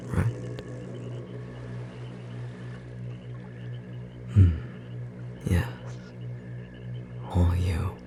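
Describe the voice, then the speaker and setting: A performer voicing a tender magical bookstore clerk in an ASMR roleplay, whispering softly and gently encouraging a beloved partner, with a slightly rough edge. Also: low voice, heavily acted. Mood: proud, adoring. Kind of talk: ASMR roleplay, intimate whispered second-person acting.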